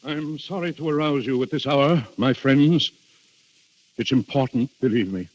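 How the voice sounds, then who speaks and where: Man playing husky, anxious, deferential duke. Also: medium pitch, lightly acted. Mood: grave, anxious. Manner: halting, grave, deferential. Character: anxious, dutiful Italian duke hosting a dreadful guest. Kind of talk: 1930s radio drama acting. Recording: muffled, dull 1930s radio recording.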